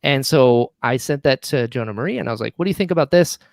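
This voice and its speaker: A man's voice: medium pitch, expressive, fast talker, creaky, dry recording, studio quality. A man, a guest on a podcast, chatting casually and enthusiastically about producing, often acting out bits of past conversations. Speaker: audio drama writer and producer, podcast guest. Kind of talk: casual podcast chat, animated storytelling.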